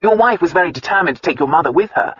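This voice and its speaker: A young gentleman, voiced by a male narrator, speaks in a raised, teasing tone that turns soothing. His voice sits a little high and husky, in a dry, slightly dull recording. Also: expressive, rapid-fire, dry recording. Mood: teasing, tender. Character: amused, tender young gentleman suitor.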